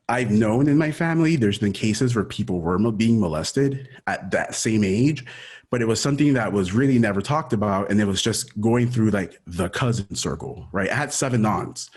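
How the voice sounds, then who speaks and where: A man in his thirties, born in Ecuador and raised in Miami, talking through a personal story as an interview guest, casual and full of "dude" and "like.". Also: lowish voice. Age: 30s. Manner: casual, says dude and like often.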